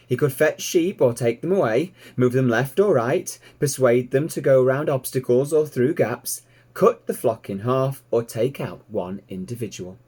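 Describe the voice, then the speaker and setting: Expressive, animated man reading a story. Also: mid-range voice, slightly rough. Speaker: storybook reader, teacher. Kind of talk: expressive children's story narration.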